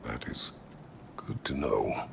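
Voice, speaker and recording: A man voicing a stern, brooding older warrior god in a video game, gravelly and terse, with formal phrasing. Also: extremely low pitch, slow, in a whisper, exaggerated voice. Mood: stern, guarded. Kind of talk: dramatic video game acting.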